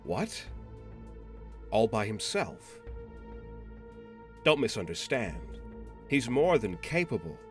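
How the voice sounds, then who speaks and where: A man playing a formal, cautious subordinate agent in an audio drama, talking in a measured, questioning manner. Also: lowish voice, very animated, soft background music. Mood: doubtful, concerned. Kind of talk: scripted audio drama roleplay.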